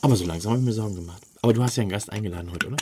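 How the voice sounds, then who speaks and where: A man's voice: lowish voice, racing, background noise. A man from Dortmund co-hosting a casual talk show, joking and playful. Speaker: podcast co-host. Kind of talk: casual chat.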